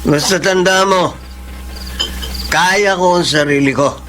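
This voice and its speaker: A man's voice: high-pitched, slow, slight background noise. A man acting a cocky, brash young boyfriend in a Filipino radio drama, charming yet hot-tempered, with a swaggering manner and Filipino accent. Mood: stubborn, irritated. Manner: swaggering, animated.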